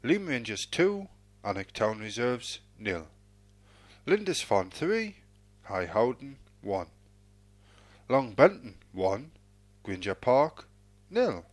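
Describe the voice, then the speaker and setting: A man reading out football results as an announcer, in a measured, formulaic sing-song cadence. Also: medium pitch, very animated, no room sound.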